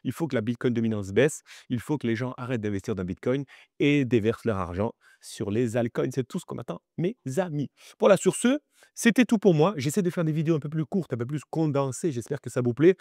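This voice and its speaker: A man hosting a crypto market video, chatty and animated, with many fillers and direct appeals to viewers, sounding a little stuffy from the cold. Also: medium pitch, brisk, no echo, studio quality. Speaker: crypto channel host. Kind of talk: crypto market analysis vlog.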